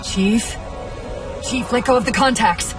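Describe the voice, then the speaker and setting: A woman as a high-voiced, quick-witted AI companion. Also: lightly acted. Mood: alarmed. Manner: articulate, wry, urgent. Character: quick-witted female AI companion, urgent and loyal. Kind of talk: cinematic video game acting. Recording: loud music and effects behind.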